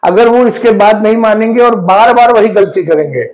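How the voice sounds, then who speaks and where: A man's voice: sits high, moderate pace, darkish tone, low-quality recording. A man presenting a current-affairs explainer as an educator, going step by step with everyday examples.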